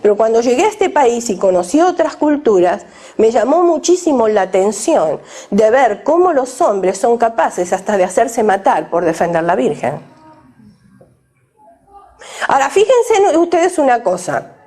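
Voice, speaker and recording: A woman preaches in a high, bright voice that swoops up and down constantly. She speaks with emphasis and turns often to the listeners with direct questions. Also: sing-song, a little slow, slightly rough. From Argentina. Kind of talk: sermon to a women's gathering.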